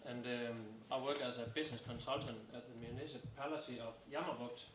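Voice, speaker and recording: A man's voice: mid-range voice, fairly even intonation, fast. A man of fifty, a business consultant introducing himself in a jokey, easygoing way. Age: 50s. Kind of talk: casual self-introduction. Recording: echoey room, distant mic, crowd noise.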